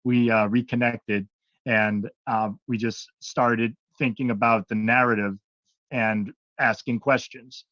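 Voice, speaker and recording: A man's voice: medium pitch, no echo, muffled recording. A man from Connecticut introducing himself on a call, hesitant and full of fillers. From Connecticut, USA. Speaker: caller.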